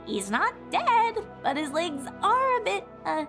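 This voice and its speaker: A woman's voice: very high voice, big pitch swings, a little slow, a bit bright, faint music behind, pitched above the performer's own voice, full character voice. A woman voicing a young bookish pony princess in a cartoon fan story, earnest, awkward and tactfully explanatory. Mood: awkwardly reassuring. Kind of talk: cartoon fan fiction character reading.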